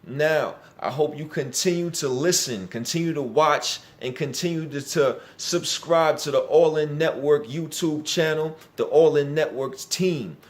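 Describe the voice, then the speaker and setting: A man's voice: a little high, a little slow, few pauses. A young man hosting a sports channel and podcast, greeting viewers in a promotional, announcer-like way. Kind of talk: upbeat show introduction.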